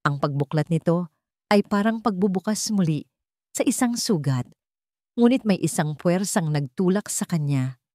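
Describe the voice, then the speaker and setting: A woman reading a scripted, dramatic love story in a measured, solemn storytelling manner. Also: lowish voice, a little mellow, no echo, studio quality. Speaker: story narrator.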